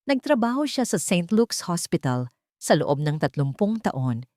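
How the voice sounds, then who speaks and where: A woman voicing a calm, defiant wronged wife who speaks in a poised, pointed way in an audio drama. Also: lowish voice, measured pace, no echo, studio quality. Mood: accusing. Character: calm, defiant wronged young wife confronting her enemy.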